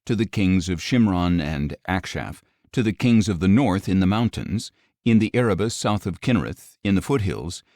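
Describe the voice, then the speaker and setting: A man narrating scripture as a scripted audiobook, reading in a measured, solemn manner. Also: low voice, animated, a little mellow, dry recording, studio quality.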